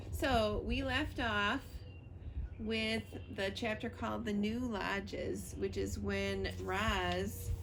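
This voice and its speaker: A woman reading a children's story aloud as a librarian would, recorded on a screened porch. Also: mid-range voice. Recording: clear background noise of outdoor porch.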